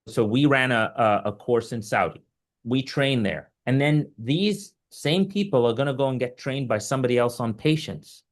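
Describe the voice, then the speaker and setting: An earnest man from Egypt answers questions as an invited surgical expert. He explains step by step and stresses his points, often with practical examples. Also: mid-range voice. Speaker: surgeon and invited webinar speaker.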